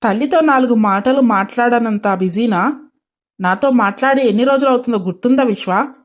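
A woman's voice: a little high, normal speed, pitched below the performer's own voice, natural voice. A woman voicing a loving, worried and insistent mother of a grown son in a dramatised story, pleading earnestly. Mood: worried, sad.

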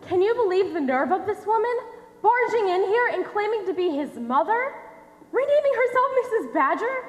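In an echoey theatre, a young woman plays an earnest, protective doctor. Her high, projected voice is lively and quick to show exasperation. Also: full character voice. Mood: indignant. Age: young adult. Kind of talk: high school stage acting. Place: theatre stage, recorded from the audience.

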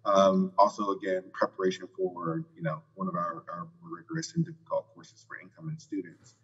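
Male presenter at a conference, lower voice, relaxed delivery with fillers, dull echoing hall audio. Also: brisk. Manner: relaxed, filler-heavy, skipping ahead for time. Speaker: program director presenting at a conference. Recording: muffled audio in a reverberant hall.

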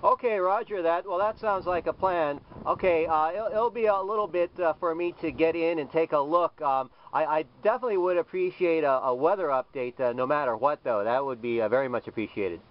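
A man's voice: a little high, fairly quick, few pauses. A man, a solo sailor, talking casually and politely on the radio from a small boat cabin in an easygoing way. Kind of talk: casual marine radio exchange. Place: small sailboat cabin at sea. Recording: dull lo-fi camera audio, faint noise.

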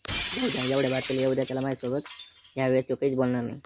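A man's voice: medium pitch, completely flat delivery, racing, background noise, close to an everyday voice. A man voicing a naive, boastful young groom in a cartoon, bragging in a Vidarbha Marathi accent. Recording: music playing behind.

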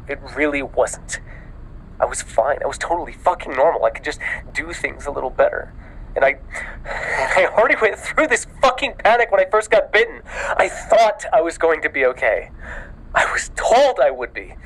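A man playing a panicked, exhausted newly turned vampire in an ASMR audio roleplay, stammering and often dropping into a breathy whisper. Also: fairly high pitch, a bit bright, full character voice, poor audio. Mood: panicked, agitated. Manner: halting, stammering, breathy sighs and frequent swearing.

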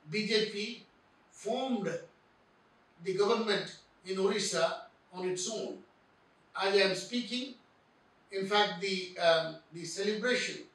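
A middle-aged man giving measured political commentary to camera, speaking deliberately with pauses, seated indoors by a window. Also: sits high, a bit bright, distant mic. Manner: deliberate, slow phrasing with pauses and fillers. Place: indoor room by a frosted window. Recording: very echoey room, mic set back.

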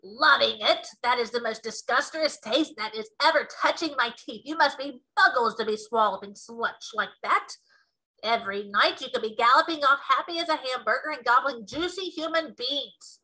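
A woman's voice: high voice, normal speed, pushed and bright, low-quality recording. A woman voicing a greedy, brutish male man-eating giant with a menacing, contemptuous manner in a storybook read-aloud. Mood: gloating.

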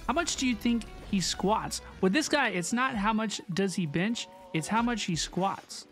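Female reaction host, casual and slangy, exclamatory, repeats her phrases, mid-pitched, light game music behind. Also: expressive, unhurried, a bit bright. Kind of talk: casual excited reaction commentary.

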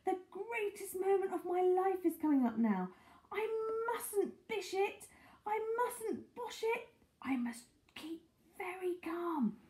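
Woman voicing an eager, nervous storybook gentleman, high-pitched. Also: very animated, slow, hardly any pauses, full character voice. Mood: nervous whispering.

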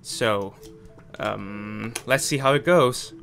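A man casually commenting on his game playthrough, hesitant, with many pauses and fillers. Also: fairly low pitch, creaky, fairly bright tone. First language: not Japanese. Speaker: gameplay commentator. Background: game music.

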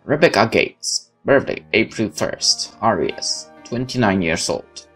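Low-voiced man reading game text aloud. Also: expressive, slow pace, a bit bright, slightly rough. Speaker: gaming video host. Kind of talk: video game let's play, reading text aloud with asides. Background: game music.

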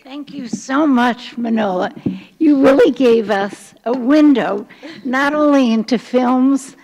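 A woman's voice: high voice, slow pace, darkish tone. A woman hosting a lecture, thanking the speaker and opening the floor for questions on a hall stage. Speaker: event host. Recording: hall reverb.

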